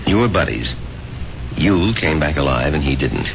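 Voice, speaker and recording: An actor playing a concerned, authoritative doctor, measured, clinical and insistent, in a 1970s radio drama. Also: male voice, extremely low pitch, animated. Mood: probing. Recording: dull, very noisy old radio recording.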